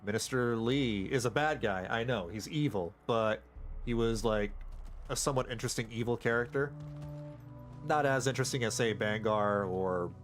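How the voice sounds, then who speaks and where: A man streaming a game, rambling casually with excited outbursts and stammering restarts. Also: on the low side. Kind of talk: casual live gameplay commentary.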